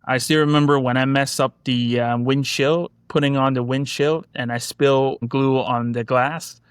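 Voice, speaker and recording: Smooth-voiced man. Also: medium pitch, dry recording, studio quality. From Hong Kong. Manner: reminiscing, many fillers and "you know, like". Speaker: guest, collectibles company executive. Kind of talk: casual interview storytelling.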